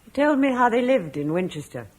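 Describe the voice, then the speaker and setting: An actress playing a caring, motherly Roman wife, soothing and gentle in a vintage radio drama. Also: fairly high pitch, big pitch swings, fairly quick.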